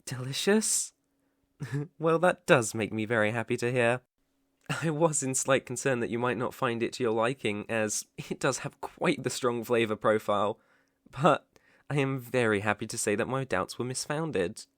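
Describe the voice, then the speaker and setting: A man plays a devoted British butler in an ASMR roleplay. He speaks in a mid-pitched male voice with formal, deferential phrasing and a softly attentive manner. The sound is close and dry, with no room around it. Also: normal speed, no room sound, natural voice. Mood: gentle, pleased. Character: polite, devoted young male butler, gently teasing.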